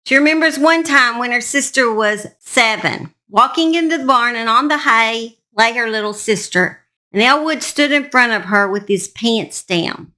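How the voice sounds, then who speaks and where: A woman's voice: a little high, normal speed, pushed and bright. A woman host narrating a true crime story in an informal, plain-spoken, conversational way, speaking straight to her listeners.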